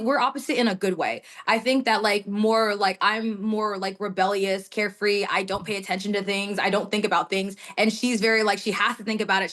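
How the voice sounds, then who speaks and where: A woman's voice: mid-range voice, fairly bright tone. A young woman from the United States, a guest in a casual interview, talking in a chatty way with lots of laughs and filler words. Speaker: guest wrestler.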